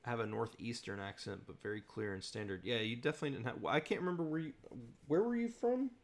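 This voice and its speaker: A man's voice: mid-range voice, fast talker. A man from the southern United States hosting a casual livestream Q&A, chatty and rambling, laughing often. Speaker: host.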